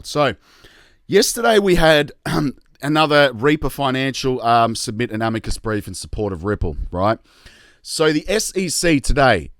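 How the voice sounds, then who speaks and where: An Australian man hosting a casual investing vlog, chatty and excitable, tired and losing his voice. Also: mid-range voice, no room sound, studio quality. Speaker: crypto YouTube host.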